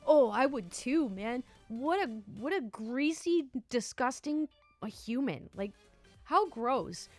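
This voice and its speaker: A woman narrating online stories in an animated way, with exasperated, indignant asides. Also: fairly high pitch. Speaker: storyteller reading online stories. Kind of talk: story narration with commentary.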